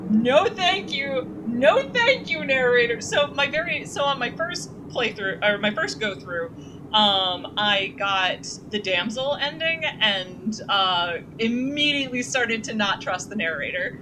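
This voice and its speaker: A young woman streaming a game, chatty and playful, reacting quickly to the story. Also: fairly high pitch, lively intonation, background noise. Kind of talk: live game commentary. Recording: voice with game music behind.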